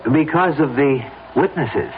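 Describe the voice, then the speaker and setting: An actor as insistent schemer, tinny radio sound. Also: male voice, on the high side, measured pace, lightly acted. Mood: eagerly persuasive. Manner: insistent, smooth-talking, confiding. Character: scheming, persuasive man plotting a staged fatal accident. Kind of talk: radio drama acting. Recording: lo-fi phone-like radio broadcast, background noise.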